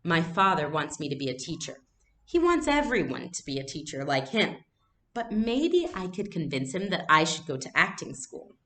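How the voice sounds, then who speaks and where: A woman's voice: lowish voice, very animated, normal speed, a bit bright. A woman voicing a nervous, earnest young girl with a dramatic streak in a storybook read-aloud. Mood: wistful. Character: nervous young girl, theatrical, wistful best friend.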